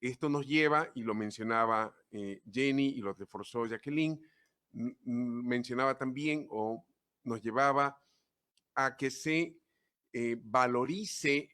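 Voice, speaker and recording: Male moderator, slow measured delivery, formal, hesitant. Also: mid-range voice, bright, forceful tone. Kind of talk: moderating a panel.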